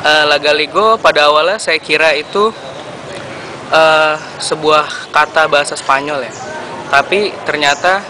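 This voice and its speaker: Measured young man with a slightly high voice. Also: background noise. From Jakarta. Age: young adult. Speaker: student interviewee.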